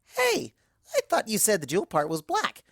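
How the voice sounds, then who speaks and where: A woman voicing an excitable young female cartoon pony, bubbly and breathless, rambling through a first-person story. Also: mid-range voice, normal speed, vocal fry, no room sound, clean studio sound, pitched above the performer's own voice, exaggerated voice. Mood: chatty, excited.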